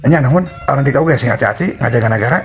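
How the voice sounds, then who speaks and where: A man voicing a bold, firm royal traveller in a folktale, brisk and emphatic. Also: mid-range voice, pitched above the performer's own voice, natural voice. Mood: grateful, brisk. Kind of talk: Sundanese folktale storytelling. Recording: music behind the voice.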